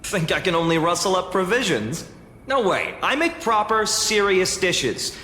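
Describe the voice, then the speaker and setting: A bright, high-pitched brash cook. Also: male voice, very animated, unhurried, a little hiss or hum, full character voice. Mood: indignant, boastful. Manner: boastful, defensive. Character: proud, defensive young male cook, brash and eager. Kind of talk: video game voice acting. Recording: echoey hall, faint music.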